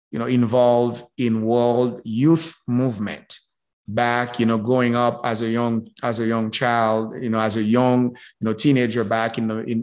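A middle-aged man from Haiti, a guest speaking casually in an interview, halting and repeating words as he goes. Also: medium pitch. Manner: slow, halting, many repeated words and fillers. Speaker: guest, business professor.

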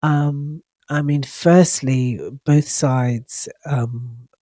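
A woman hosting her own podcast while congested from a cold, talking in a reflective, halting way with frequent ums and restarts. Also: sits low, steady tone, mellow, dry recording, clean studio sound. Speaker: podcast host. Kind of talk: casual solo podcast talk.

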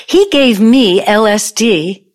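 A woman's voice: medium pitch, expressive, slow. A woman playing a wounded, vengeful older ex-girlfriend in an audio play, speaking in a dramatic, pointed way. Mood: angry, accusing. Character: bitter, wounded, vengeful ex-girlfriend from mogul's college days.

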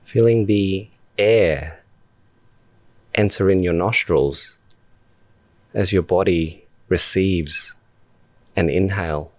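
A man leading a guided meditation as a podcast host, speaking calmly and deliberately in short phrases with long pauses. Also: deep voice, dry recording.

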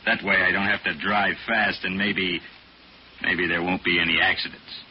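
A man's voice: deep voice, flat delivery, moderate pace, natural voice. A man playing a plain-spoken, matter-of-fact hired driver in a 1940s radio adventure, blunt and folksy. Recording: muffled, noisy old radio recording.

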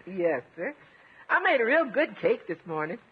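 An actress playing a folksy, deferential housekeeper and cook in a 1950s radio comedy. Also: female voice, medium pitch, sing-song, moderate pace, breathy edge. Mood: cheerful, deferential. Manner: folksy, deferential, addresses employer as sir. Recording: muffled, faintly noisy vintage radio recording.

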